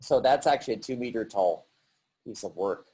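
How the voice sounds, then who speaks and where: Hesitant man with a mellow voice. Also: fairly low pitch, expressive, breathy edge. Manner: halting, many fillers and restarts. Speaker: artist presenting his work. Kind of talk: virtual artist talk.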